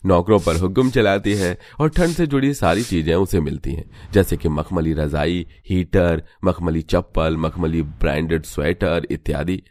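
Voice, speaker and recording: Deep-voiced man narrating a story. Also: normal speed, hardly any pauses, a little mellow, no room sound. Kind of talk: scripted storybook narration for a cartoon.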